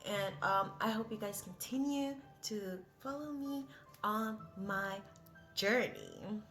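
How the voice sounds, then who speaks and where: A woman's voice: medium pitch, wildly swooping pitch, slow pace, a bit bright, faint music behind. A young woman, not a native English speaker, talking in a casual, rambling vlog with many hesitant fillers. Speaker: vlogger.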